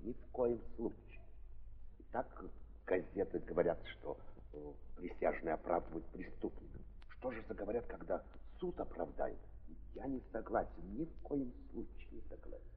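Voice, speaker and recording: Tinny echoey old recording, stubborn judge, deep voice, radio play. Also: male voice, animated, normal speed. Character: stubborn, low-voiced male judge, firmly dissenting. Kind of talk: 1930s radio theatre dialogue. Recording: tinny 1930s recording with long reverb.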